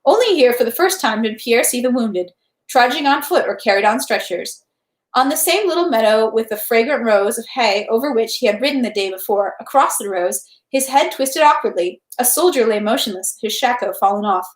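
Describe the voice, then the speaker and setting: A woman reading a novel aloud as narration, in an animated, expressive way. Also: a little high, fairly quick. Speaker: read-aloud host. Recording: echoey room.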